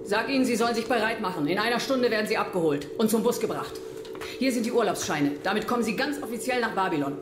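An actress playing a cool, mocking leader of urban guerrillas in a radio play, commanding and sardonic. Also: female voice, medium pitch, animated, fast talker, noisy background, close to an everyday voice. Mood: hushed orders. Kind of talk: German radio play acting.

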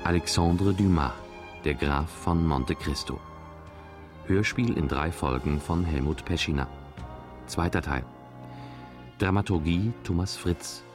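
A man formally announcing the title and cast credits of a radio drama. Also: deep voice.

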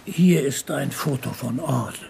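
A man's voice: mid-range voice, unhurried, vocal fry, dark tone, slight background noise. An actor playing a middle-aged, well-off, controlling father in a radio crime drama, formal and disapproving in manner. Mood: formal, insistent. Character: well-off, controlling bourgeois father hiring a detective.

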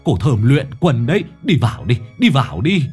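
A man's voice: mid-range voice, big pitch swings, moderate pace, soft background music, pitched above the performer's own voice, natural voice. A man voicing a frightened, superstitious old village woman urging someone indoors. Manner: panicky, urgent, hushed gossip.

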